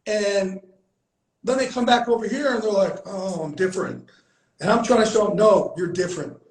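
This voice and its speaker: A man's voice: high voice, normal speed. A man hosting a casual heartfelt conversation, emphatic and repeating himself for emphasis, swearing freely. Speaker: host. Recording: echoey room.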